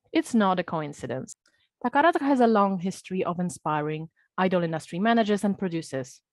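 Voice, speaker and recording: A woman's voice: lowish voice, no room sound. Woman presenting a scripted talk, dry sound. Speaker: presenter at an academic panel.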